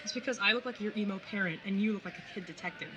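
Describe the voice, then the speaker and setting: A woman's voice: mid-range voice, very fast, pushed and bright, highs cut off, soft background music, lightly acted. A young woman playing a caring, slightly awkward and wry older sibling in a casual audio drama. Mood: teasing, friendly. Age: young adult. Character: awkward, caring older sibling, early twenties, tattooed, teasing. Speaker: narrator and lead character. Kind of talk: audio drama acting with first-person narration.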